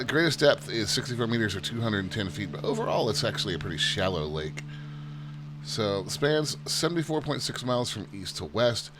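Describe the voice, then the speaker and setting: A low-pitched man talks through a flight sim session in an easygoing, chatty tour-guide manner, joking at his own expense. A constant hum runs beneath the recording. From United States. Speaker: flight sim channel host. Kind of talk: casual gameplay commentary. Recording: steady background hum.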